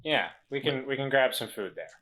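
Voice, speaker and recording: Bright-voiced man playing a tabletop game. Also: mid-range voice, lively intonation, brisk, low-quality recording. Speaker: player in a tabletop roleplaying podcast. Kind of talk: casual improvised play.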